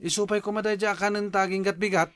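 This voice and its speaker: A man's voice: high-pitched, flat delivery, quick pace, a bit bright, no echo, clean studio sound. A man playing an easygoing, pleasure-seeking husband who talks casually and coaxingly in a radio drama.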